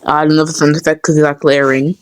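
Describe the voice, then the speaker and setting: A woman's voice: deep voice, little pitch movement, fast talker, no room sound. A woman chatting casually behind the scenes as a dub creator, rambling and talking to herself. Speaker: creator dubbing a comic.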